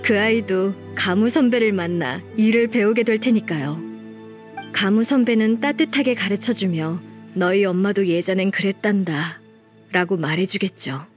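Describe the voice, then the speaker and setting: A woman's voice: medium pitch, natural voice. A woman playing an earnest, polite young secretary in a video game, heartfelt and a little hesitant toward an admired senior colleague.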